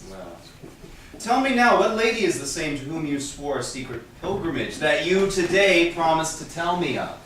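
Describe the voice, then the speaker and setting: Heard from a distance in a heavily echoing room, a melancholy Venetian merchant speaks through a male actor at a medium pitch. He is courteous and weary of the world, reading out stage verse with faint noise behind him. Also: sing-song, normal speed, fairly bright tone. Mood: curious.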